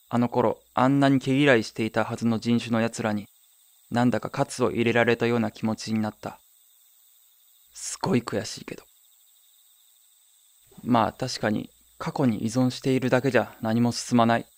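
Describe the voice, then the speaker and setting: A man's voice: medium pitch, flat delivery, moderate pace, pauses often, no room sound, close to an everyday voice. A man playing a wistful, wry young man who narrates his own story in a radio drama. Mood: stung, reflective, then earnest resolve.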